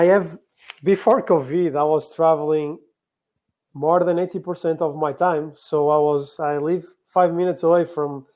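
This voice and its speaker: Mellow, higher-voiced Portuguese-accented man presenting. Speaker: conference speaker, operational excellence professional. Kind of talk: business presentation.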